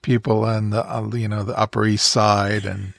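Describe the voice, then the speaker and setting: A man co-hosting a photography podcast, talking casually in halting, stop-start phrases. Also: fairly low pitch, level tone, vocal fry, fairly bright tone, dry recording, clean studio sound. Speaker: podcast co-host. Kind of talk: casual chat.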